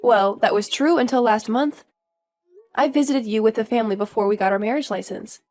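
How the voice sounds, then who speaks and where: A woman's voice: fairly high pitch, a little fast, a little mellow, close to an everyday voice. A woman playing an earnest young daughter-in-law who is polite but firm, in a scripted audio story. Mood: friendly, puzzled. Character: earnest, indignant young daughter-in-law standing her ground.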